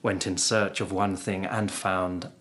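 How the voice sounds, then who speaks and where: A man's voice: low-pitched, flat delivery, a little slow, a bit bright. An actor playing a young nobleman who informs on a plot in a radio drama, formal and grave, telling his story earnestly. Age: young adult.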